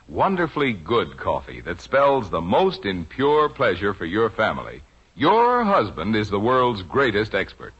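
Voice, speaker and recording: Husky, very animated American radio announcer man. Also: medium pitch. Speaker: commercial announcer. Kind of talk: 1950s radio advertisement, scripted persuasive pitch. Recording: muffled old radio recording.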